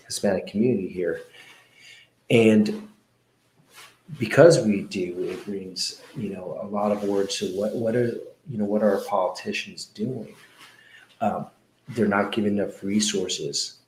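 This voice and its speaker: Male interview host, low voice, earnest and halting, in an echoey room. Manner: earnest, halting with many fillers. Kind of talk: conversational interview.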